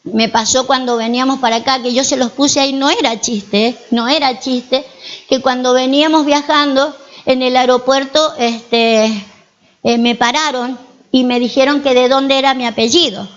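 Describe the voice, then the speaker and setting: A woman's voice: high-pitched, steady tone, normal speed, highs cut off. A woman pastor with an Argentine accent, preaching a sermon in church and telling stories with frequent rhetorical questions to the congregation. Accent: Argentine Spanish.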